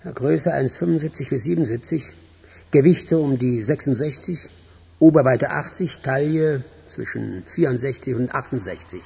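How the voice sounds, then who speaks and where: Gravelly, very husky callous henchman. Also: medium pitch, lively intonation, moderate pace, dark tone, heavily acted. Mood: cold, matter-of-fact. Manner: matter-of-fact, callous. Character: cold, businesslike criminal henchman reporting to his boss. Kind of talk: radio crime drama acting.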